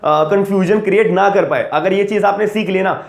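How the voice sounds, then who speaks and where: A young man explains things earnestly, circling back over the same points. His voice sits fairly high for a man. The room is bare and echoey. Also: fairly quick, vocal fry. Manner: earnest, repetitive, mixes English words into Hindi. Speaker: host of a legal guidance channel. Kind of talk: casual explainer talk to camera.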